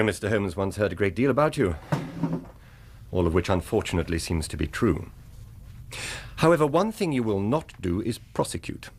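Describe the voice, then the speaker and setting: A brazen aristocratic scoundrel for radio drama. The accent is upper-class English, the voice fairly low and husky with big swings in pitch. He sounds urbane, haughty and theatrical. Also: a little mellow, full character voice. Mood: cool, menacing.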